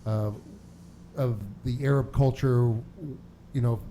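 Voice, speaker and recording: A man on an interview panel asking a halting, rambling question. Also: medium pitch, fairly even intonation, mellow, a little hiss or hum.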